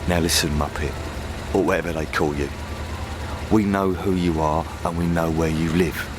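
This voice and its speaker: A man's voice: low-pitched, steady tone, moderate pace, background noise, lightly acted. A man acting a threatening, short-tempered armed gangster with a London accent in a comedy radio play. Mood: low menace. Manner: curt, threatening.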